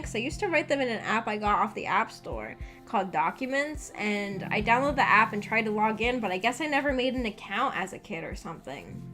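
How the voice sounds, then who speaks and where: A woman's voice: on the high side, bright, forceful tone. A woman livestreamer rambling casually to her viewers in a hesitant, self-deprecating way, as the host. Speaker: livestreamer hosting a visual novel book club. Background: background music.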